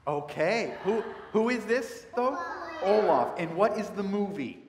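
A middle-aged man, a pastor, giving a children's sermon and asking the kids many gentle questions. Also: high voice, expressive, unhurried, a crowd in the background. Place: large church sanctuary. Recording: long church reverb.

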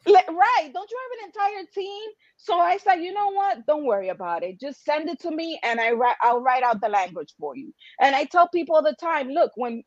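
Emphatic high-voiced woman on a video call. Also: no room sound. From Dominican-American. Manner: emphatic, passionate, rhetorical questions. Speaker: interview guest, writers' association founder. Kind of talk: animated conversational interview answers. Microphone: computer video-call microphone. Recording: dull, lo-fi video-call audio.

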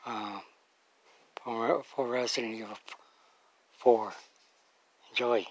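A man casually introducing game footage and reading aloud in a halting, plain way. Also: medium pitch, fairly even intonation, poor audio. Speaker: video uploader introducing game cutscenes.

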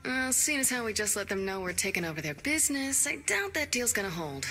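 A woman's voice: a little high, sing-song, a little fast, sharp tone, faint music behind, full character voice. A woman voicing a tough young gang boss in a video game, blunt, dry and sarcastic.

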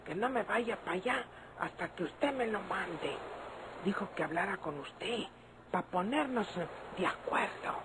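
Watchful henchman, high voice, Mexican country accent, deferential, noisy vintage radio drama. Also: expressive, unhurried. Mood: deferential, matter-of-fact. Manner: rustic, deferential country speech.

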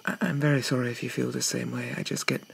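Male actor as timid polite zombie, ASMR roleplay, soft and whispery, stammering, gravelly fry, faint background noise. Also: medium pitch, little pitch movement, fast. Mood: shy, wistful. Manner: hesitant, stammering, old-fashioned politeness. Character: shy, gentle, polite Victorian zombie young man.

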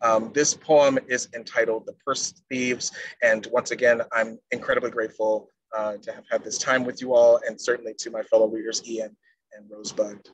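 A middle-aged man giving a measured poetry reading as a poet on a video call. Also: medium pitch. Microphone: wired earphone mic on a video call. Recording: noisy video-call audio.

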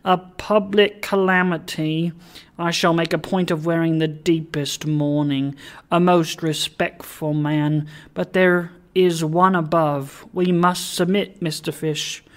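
A reader voicing a pompous, pious male alderman in a storybook, exclaiming with self-important, repetitive phrasing. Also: medium pitch. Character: pompous, pious city alderman, gentleman, effusively sanctimonious. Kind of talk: dramatised audiobook of a classic story.

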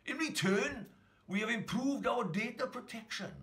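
Guy doing slick modern santa for a kids xmas poem, salesy and declaiming, really swoopy and bright. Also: extremely high pitch, animated, moderate pace, voice pushed up. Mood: brisk, salesy. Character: slimmed-down hipster Santa, slick, cheerful corporate announcer. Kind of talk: children's Christmas verse storytelling.

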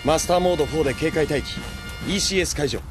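A man's voice: on the high side, very fast, over music, natural voice. A man playing a humorless young military sergeant in an audio drama, speaking in a curt, clipped way. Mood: businesslike. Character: stern, humorless young male soldier, a sergeant. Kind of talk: Japanese audio drama acting.

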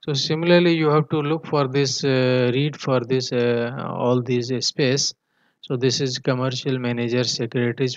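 A man giving a technical tutorial in a halting manner, often punctuating his explanations with a checking "okay". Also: mid-range voice, dry recording. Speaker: engineering tutor. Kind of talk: tutorial walkthrough of technical drawings. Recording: dull, muffled lo-fi voice track.

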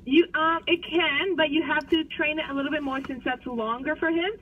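A woman's voice: high-pitched, steady tone, a little fast, recorded from a distance, slight background noise. A woman patiently explaining things as a customer service worker on a phone call, heard tinny through a speaker.

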